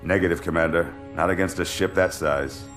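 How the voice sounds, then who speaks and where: An actor playing a military commander who gives clipped, authoritative orders and speeches. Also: male voice, deep voice, steady tone, a little fast, a bit bright. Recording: echoey hall with game music.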